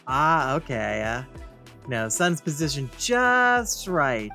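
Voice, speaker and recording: A woman gives casual let's play commentary in a low voice. Her delivery is very lively and conversational, full of asides, with faint game music behind. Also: slow. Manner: reacts aloud, conversational asides to game characters. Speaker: gaming video host.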